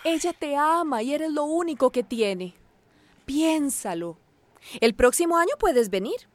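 Radio drama role: patient migrant mother, slightly high voice, gentle and persuasive. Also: animated, a little slow, no echo. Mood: gently persuading. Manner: gentle, reasoning. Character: caring, hard-working migrant mother urging patience.

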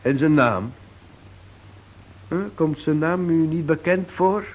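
An actor playing a self-assured investigator in a radio drama, polite, reassuring and pointed in questioning. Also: male voice, fairly high pitch, slow pace. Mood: insistent. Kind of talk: Dutch radio play acting. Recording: dull old radio recording.

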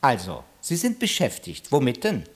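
A man's voice: medium pitch, huge pitch swings, normal speed. An actor playing an exacting, schoolmasterly male sales trainer who interrupts and instructs in a German audio drama. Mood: brisk, directing.

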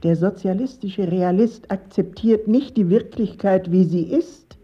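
Slow, husky grave woman. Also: mid-range voice, lo-fi sound. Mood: grave, didactic. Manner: measured, didactic. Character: grave woman lecturing on socialist realist doctrine. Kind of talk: German radio play.

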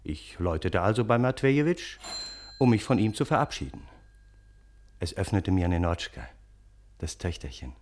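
An actor playing a respectable, courteous gentleman who narrates a German radio play as a family friend in measured speech. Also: low-pitched, big pitch swings, a little hiss or hum. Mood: genial storytelling.

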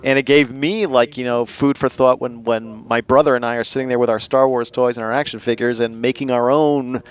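A middle-aged man hosting a podcast in casual discussion, speaking haltingly and repeating words as he goes. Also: mid-range voice, fairly even intonation, smooth, dull audio. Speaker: podcast host.

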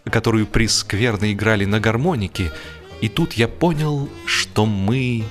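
A man's voice: fairly low pitch, measured pace. Male storyteller over music. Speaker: audiobook narrator. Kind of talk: scripted humorous literary narration. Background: music behind the voice.

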